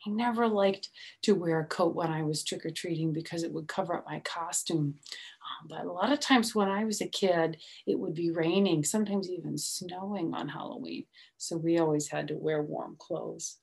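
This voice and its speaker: A middle-aged woman reads a children's picture book aloud slowly and expressively, adding chatty, simple explanations for young children. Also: on the low side, gravelly, a little mellow. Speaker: storytime reader. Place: small room at home, indoors.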